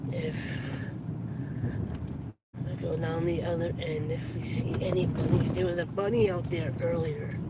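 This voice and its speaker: A raspy-voiced live streamer with heavy breathing, rambling casually while driving a car. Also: mid-range voice. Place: inside a moving car. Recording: road and car noise.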